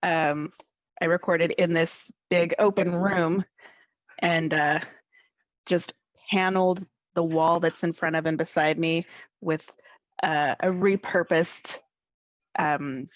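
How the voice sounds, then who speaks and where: A woman's voice: on the low side, steady tone, slow, pauses often, no echo, highs cut off. A woman actor telling casual anecdotes in an interview, hesitating as she searches for words.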